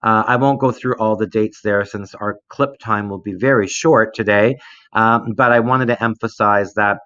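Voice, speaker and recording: A middle-aged man giving an informal online presentation as an education director, explaining things in a measured, hesitant way. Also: lowish voice, steady tone, a bit bright. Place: indoors, recorded remotely for an online conference. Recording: roomy, dull lo-fi online recording.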